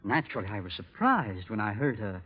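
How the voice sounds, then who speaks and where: An actor playing an uneasy, aggrieved bank employee in an old radio drama, speaking formally and pointedly. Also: male voice, lowish voice, sing-song, brisk, husky. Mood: uneasy, polite. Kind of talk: 1930s radio drama acting. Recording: muffled old-time radio recording.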